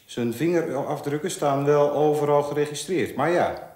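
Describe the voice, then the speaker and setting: A man's voice: a little high, normal speed, darkish tone, natural voice. A male stage actor playing a coarse, sneering man on society's fringe, taunting and declamatory. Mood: mocking. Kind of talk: stage play acting. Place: bare hall with earth floor. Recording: echoey bare room.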